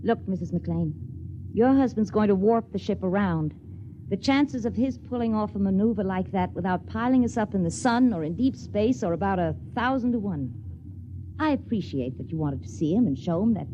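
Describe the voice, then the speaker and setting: An actress playing a blunt, loyal, stern nurse on a spaceship, clipped and reproachful, in 1950s radio drama style. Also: female voice, medium pitch, dark tone, natural voice. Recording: dull, hissy old radio broadcast.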